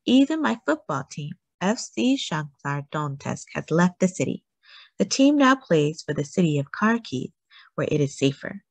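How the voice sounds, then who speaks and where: A woman reading a children's book aloud for a classroom, slow and careful with lively rises on key words. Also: deep voice, no room sound.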